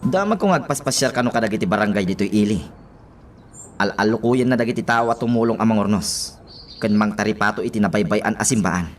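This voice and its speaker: An actor playing a dutiful, eager subordinate officer in a radio drama. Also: male voice, lowish voice, expressive, a little fast, a little hiss or hum, natural voice. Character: dutiful, eager male subordinate reporting to his chief.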